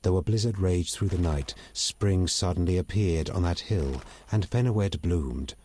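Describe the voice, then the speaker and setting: A man playing a proud, bitter elf rebel in a video game, articulate and measured, with open disdain. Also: sits low, dark tone, a little hiss or hum. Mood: solemn. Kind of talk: video game dialogue acting.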